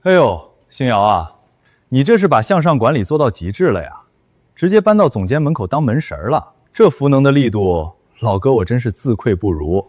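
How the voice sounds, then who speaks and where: A man playing a smarmy, teasing office colleague in an animated comedy, spouting off in a showy, sarcastic way. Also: medium pitch, normal speed, dry recording. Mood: mocking.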